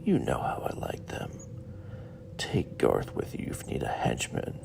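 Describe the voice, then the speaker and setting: Very deep, gravelly cult leader. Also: male voice, huge pitch swings, whispered, full character voice. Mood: gloating, predatory. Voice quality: very gravelly, breathy growl. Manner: slow, commanding, menacing. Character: sinister male cult leader, menacing and lecherous. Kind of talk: audio drama acting. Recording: clearly noisy background.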